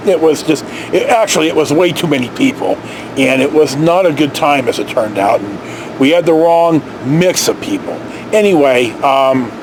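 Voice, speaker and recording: A man's voice: on the high side, background noise. A middle-aged man with an American accent telling personal stories in a casual, rambling, salty way, recorded indoors on a camera at arm's length. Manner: salty, sweary, animated reminiscing. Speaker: storyteller, vlog host. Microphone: camera held at arm's length.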